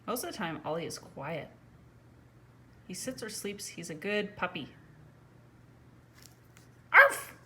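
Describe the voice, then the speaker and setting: A woman reading a picture book aloud to children in a casual, conversational way, pausing to put questions to the listener. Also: lowish voice, lively intonation, a little hiss or hum. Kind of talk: casual read-aloud with chatty asides to young viewers.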